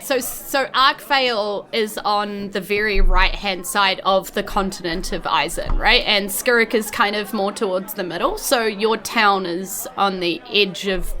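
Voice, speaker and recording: A woman with a New Zealand accent runs a tabletop game as game master. Her voice is bright and crisp-edged at a middling pitch, and she narrates in a casual, conversational style.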